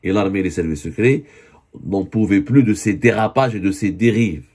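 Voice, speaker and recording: Hoarse low-voiced man. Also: moderate pace, a little mellow. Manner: earnest, with many self-corrections. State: hoarse from a cold. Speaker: online news commentator. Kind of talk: spoken video commentary.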